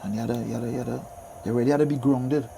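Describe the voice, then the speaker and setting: A man's voice: medium pitch, rapid-fire, vocal fry. A man in his fifties with a Trinidadian accent, chatting casually as an interview guest, emphatic and often repeating his phrases. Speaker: interview guest, soca singer and DJ. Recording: steady background noise.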